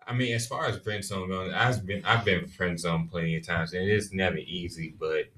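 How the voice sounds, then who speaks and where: Very low-voiced man, measured and reflective. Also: fairly even intonation, a bit bright. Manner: measured, reflective, gives advice. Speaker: podcast co-host. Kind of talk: casual reflective chat.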